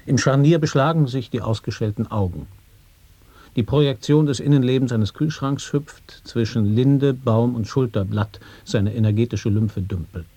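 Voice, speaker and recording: A man's voice: medium pitch, normal speed, mellow, breathy edge, no echo. Matter-of-fact man reading absurd verse. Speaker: reader in a radio play. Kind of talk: recitation of experimental sound poetry.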